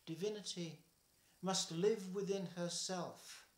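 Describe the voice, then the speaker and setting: An older man reading a poem aloud at home, slow and measured, his voice falling soft at the ends of lines. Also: sits high, fairly even intonation, roomy sound. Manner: slow, measured, trailing softly at line ends. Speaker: poetry reader. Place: home kitchen, indoors.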